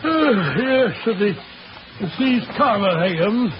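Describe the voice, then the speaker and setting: High-pitched older English gentleman, bumbling, avuncular, hesitant, vintage radio drama, muffled noisy old recording. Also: expressive, a little slow. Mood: puzzled, blustering. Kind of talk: 1940s radio acting.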